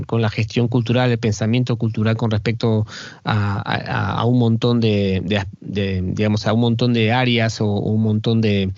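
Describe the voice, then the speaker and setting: A man's voice: on the low side, darkish tone, no echo, lo-fi sound. Hesitant male podcast host. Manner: hesitant, many fillers and repeated words. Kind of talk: interview hosting.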